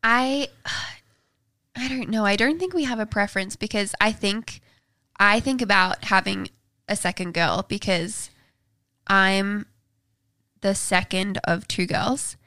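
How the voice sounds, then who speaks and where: A woman from Australia who appears as a podcast guest. Her voice is bright and close, recorded without any room sound, and she pauses to think as she speaks. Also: medium pitch, dry recording. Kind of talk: casual chat.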